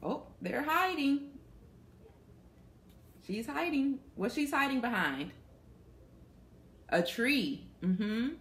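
Young woman, children's story reader, very lively intonation, unhurried pace, questions for kids, faint background noise. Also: sits high. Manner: asks young listeners questions while reading. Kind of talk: reading a picture book aloud to children. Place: small plain room indoors.

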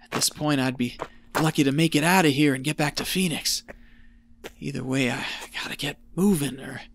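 An actor playing an exhausted, rambling treasure hunter losing his wits, folksy and breathy, muttering to himself in an audio drama. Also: fairly high pitch, fairly bright tone. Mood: weary. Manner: folksy, muttering to himself, slow halting delivery.

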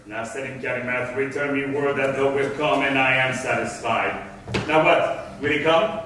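An actor playing a scheming, gloating villain in a theatrical staged verse reading. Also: male voice, mid-range voice, steady tone, moderate pace, a bit bright, natural voice. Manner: theatrical, declamatory. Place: large indoor theatre stage. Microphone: far camera. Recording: distant echoey theatre stage, faint noise.

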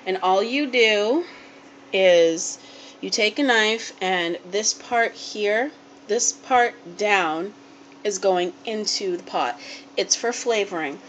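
A woman's voice: mid-range voice, takes it slowly. A young woman filming a casual cooking demonstration in her small kitchen, chatty and unpolished with plenty of ums and restarts. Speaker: home cook vlogger. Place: small home kitchen, indoors. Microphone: handheld camera or phone held close. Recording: home video with background noise.

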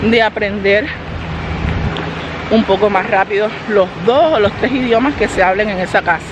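A woman's voice: mid-range voice, normal speed, muffled recording. A woman vlogging as she walks outdoors, holding the camera herself and chatting straight to her viewers. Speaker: family vlogger. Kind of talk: casual walking vlog. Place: outdoors by a road, walking. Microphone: handheld camera. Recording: noisy outdoor street audio. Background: traffic and street noise.

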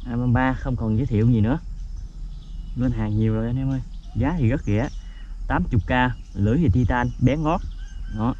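Guy narrating his fishing trip, mellow voice, dull action cam sound, birds faint behind. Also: medium pitch, fairly quick, long pauses, slight background noise. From Vietnam. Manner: excited exclamations to viewers. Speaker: fishing vlogger. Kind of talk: casual fishing commentary. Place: outdoors by a rural pond. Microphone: head-mounted action camera.

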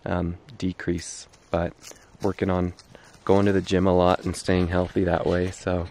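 A man of thirty chatting casually and haltingly to a handheld camera while walking outdoors on a trail, in an easygoing way. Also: low-pitched, completely flat delivery, a little mellow. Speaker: vlogger. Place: outdoors on a wooded canyon trail. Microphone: handheld camera at arm's length. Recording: clear outdoor background noise on trail.

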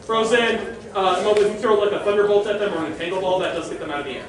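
A man's voice: high-pitched, very fast. A man briefing a group on game rules in an emphatic, instructive way, answering questions as the organizer. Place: open-sided concrete park pavilion. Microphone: distant camera mic. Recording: echoey park pavilion, far camera, crowd chatter.

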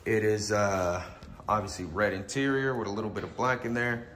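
Man, hoarse and stuffy, low voice, roomy garage echo, rambling walkaround. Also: fairly even intonation, normal speed, heavy background noise. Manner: rambling, with many ums and uhs. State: losing his voice, stuffy nose. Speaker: car builder. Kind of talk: casual walkaround narration. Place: garage beside the car.

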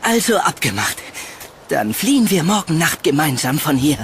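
A man's voice: on the high side, big pitch swings, moderate pace, fairly bright tone, slight background noise. A man playing a haunted, shy yet charming young man in a horror audio drama, earnest and pleading. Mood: charming, playful.

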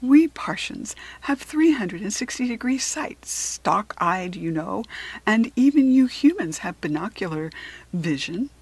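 A polite alien hare from a children's storybook, acted out by a woman reader. The voice is slightly husky and carefully articulated. It talks in a chatty, formal, self-assured way, as if a little superior. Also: medium pitch, big pitch swings, unhurried, darkish tone, no room sound, exaggerated voice. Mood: friendly. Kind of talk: children's storybook character reading.

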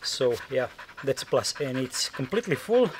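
A man's voice: medium pitch, big pitch swings, normal speed. A man in his thirties talking casually and matter-of-factly to camera as an outdoor vlog host, recorded in a forest. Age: 30s. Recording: outdoor camera audio with clear background noise.

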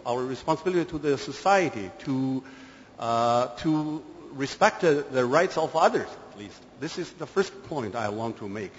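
A man from China whose first language is Chinese, a government delegate giving a formal statement at a conference, measured, with many hesitations. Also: medium pitch, a little hiss or hum. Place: large conference hall. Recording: echoey hall, dull lo-fi sound.

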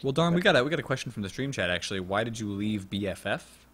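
A man's voice: on the low side, big pitch swings, quick pace, a bit bright. A young man, hosting a casual talk show with playful banter. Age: young adult. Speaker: show host. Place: indoor room, video call. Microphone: headset.

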